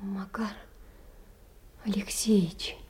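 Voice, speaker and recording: A woman's voice: mid-range voice, fairly even intonation, slow pace, mellow, slight background noise, lightly acted. An actress playing a poor young woman, anxious and tenderly caring, pleading earnestly and often sinking toward a whisper in an audio drama. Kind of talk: theatrical reading of letters in a stage radio play.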